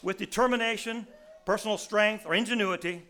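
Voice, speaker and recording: A raspy-voiced man in his sixties preaches with a bright, pressed edge to his voice. He tells homespun stories in a folksy way, often restarting his sentences, and his voice sits a touch higher than most men's. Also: brisk. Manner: folksy storytelling, many restarts. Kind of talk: sermon.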